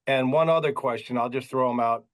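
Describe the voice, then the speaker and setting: A man's voice: mid-range voice, a little fast. A man hosting a podcast, asking long rambling questions with stammers and light jokes. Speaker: podcast host. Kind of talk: casual interview questions.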